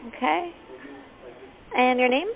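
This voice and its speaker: A woman's voice: sits high, takes it slowly, gravelly. A woman working at a restaurant takes a booking in a brief, polite phone conversation. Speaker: restaurant staff taking a booking. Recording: narrow phone line with noise.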